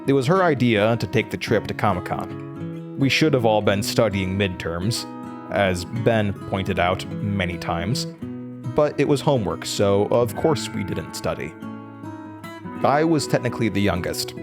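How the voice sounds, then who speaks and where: Audio drama lead: weary young man, low register, dry and candid delivery, quietly defiant, with prominent guitar music underneath. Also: animated, measured pace. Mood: reflective narrating. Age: young adult. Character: weary, defiant young male college student haunted by his past.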